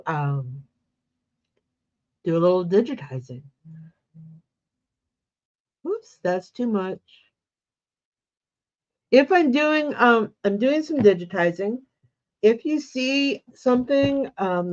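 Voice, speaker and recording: Congested woman host, slow chatty livestream. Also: on the high side, a little mellow, dull audio. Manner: chatty, greets viewers by name. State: congested with a cold. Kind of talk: casual livestream chat.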